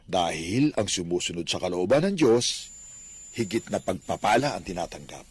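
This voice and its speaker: A man's voice: low voice, very animated, moderate pace, a little hiss or hum. A devout elderly Filipino father, firm. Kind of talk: Filipino religious radio drama acting.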